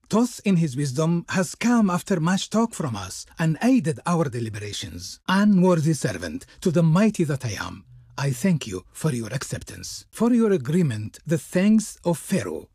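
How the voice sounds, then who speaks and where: An actor voicing a servile, ceremonious and pompous Egyptian diplomat in a theatrical video game style. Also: male voice, medium pitch, darkish tone, husky, no echo, studio quality. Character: servile, smooth-tongued Egyptian diplomat of Pharaoh, pompously reverent.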